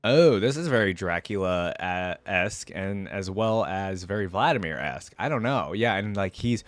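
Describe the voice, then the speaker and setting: A man's voice: low voice, lively intonation, moderate pace, dry recording. A man giving casual reaction commentary as a host, chatty and informal, thinking aloud as he goes.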